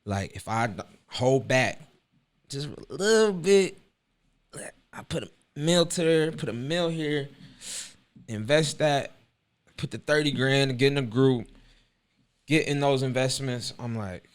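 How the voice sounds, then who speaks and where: A man's voice: medium pitch, animated, a little slow, pauses often. Slangy young man in his twenties. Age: 20s. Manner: slangy, earnest. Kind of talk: casual chat.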